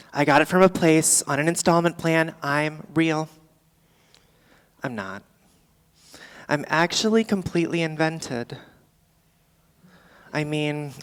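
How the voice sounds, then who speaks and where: A performer playing a boozy, world-weary middle-aged female comic, doing a rambling, deadpan stand-up monologue live on a theatre stage. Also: medium pitch, clean tone, big-room sound, close to an everyday voice. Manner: rambling, deadpan, theatrical, swinging between asides and outbursts.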